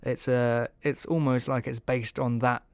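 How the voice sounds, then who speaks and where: A man's voice: medium pitch, flat delivery, measured pace, smooth, no echo. A man hosting a podcast, giving a casual review in a hesitant, meandering way. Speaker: podcast host.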